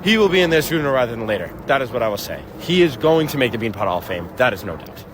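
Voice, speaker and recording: A man's voice: mid-range voice, big pitch swings, fast talker, noisy background. A man, a guest on a sports podcast, making firm, emphatic calls in casual chat. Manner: emphatic, declarative predictions.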